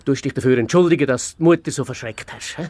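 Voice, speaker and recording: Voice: medium pitch, fast, darkish tone, dry recording, natural voice. An actor playing a bossy, self-important fox inspector with a Swiss German accent in a children's radio play, commanding and apt to stammer. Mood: smug, lecturing. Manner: pompous, commanding, often stammering when flustered.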